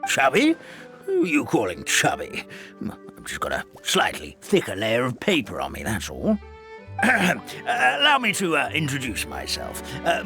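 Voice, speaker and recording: A plump little hamster elder with a gravelly creak. He is fussy and haltingly polite, his pitch leaping about wildly as a storybook game creature. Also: full character voice. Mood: indignant. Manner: hesitant, formal, old-fashioned politeness. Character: chubby paper hamster elder, fussy, courteous male. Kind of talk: video game voice acting.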